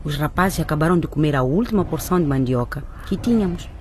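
A hard-pressed mother in a radio play. Her voice is low for a woman, earnest and caring, with an anxious, questioning edge. Faint noise sits behind it. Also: expressive, fast. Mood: worried, weary. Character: worried, hard-pressed mother and wife, caring but probing. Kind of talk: radio drama acting.

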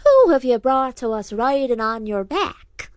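A woman's voice: high-pitched, big pitch swings, unhurried, no room sound, studio quality, pitched above the performer's own voice. A woman voicing an old female banshee hostess, calling out grandly in a storybook tale. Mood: welcoming.

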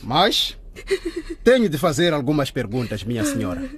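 An actor playing a polite, businesslike police officer in a radio drama, speaking formally. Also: male voice, fairly high pitch, huge pitch swings, a bit bright, breathy edge, exaggerated voice. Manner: formal, measured.

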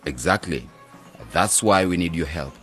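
Earnest young agronomist, low voice. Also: male voice, expressive, normal speed, fairly bright tone, soft background music. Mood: conciliatory. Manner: polite, earnest. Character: earnest, diplomatic young male agronomist graduate. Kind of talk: radio drama acting.

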